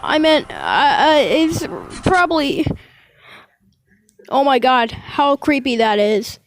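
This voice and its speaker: A woman's voice: high voice, big pitch swings, slow pace, slight background noise. A woman hosting a reaction to a scary video, exclaiming dramatically and repeating herself.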